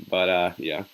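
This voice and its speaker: A young man runs a casual live stream, reading out viewer comments and hyping his chat with a steady stream of "yo". His voice is bright and forceful, pitched slightly low. He keeps a loose, friendly, talkative energy throughout. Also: poor audio. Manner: upbeat and chatty, says "yo" a lot, hypes up viewers. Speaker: live-stream host reacting to a hockey game.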